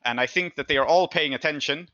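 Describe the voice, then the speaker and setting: A man running a tabletop game, narrating in a halting, conversational way as he thinks aloud. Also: on the high side, bright, forceful tone, dry recording, dull audio. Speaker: game master.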